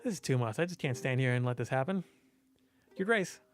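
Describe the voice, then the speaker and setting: A man's voice: medium pitch, animated, fairly quick, soft background music, close to an everyday voice. Man voicing an earnest, brave young queen. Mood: urgent, pleading. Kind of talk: visual novel character reading.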